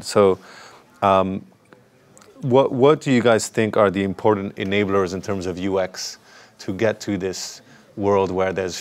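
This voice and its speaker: A man moderating a conference panel, speaking haltingly with many fillers and restarts. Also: sits low. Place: conference stage.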